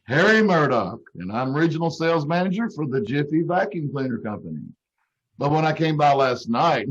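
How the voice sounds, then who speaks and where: A man's voice: medium pitch, breathy edge. Man acting a slick flirty salesman, muffled sound. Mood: flirtatious, slick. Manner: slick, pet-name-dropping sweet talk. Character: smooth, flirtatious, brash door-to-door vacuum salesman. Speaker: amateur radio troupe actor. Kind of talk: old time radio comedy acting. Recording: dull, lo-fi video call audio.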